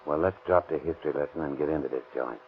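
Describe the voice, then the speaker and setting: An actor playing a doubtful, grumbling burglar sidekick with terse slang in a 1930s radio crime drama. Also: male voice, very deep voice, quick pace. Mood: grudging, uneasy. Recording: muffled, noisy 1930s radio recording.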